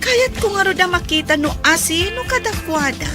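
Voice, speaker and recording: A woman's voice: very high-pitched, lively intonation, normal speed, over music. A woman playing a nosy, gossiping young woman at an event in a radio drama. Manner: gossipy and curious.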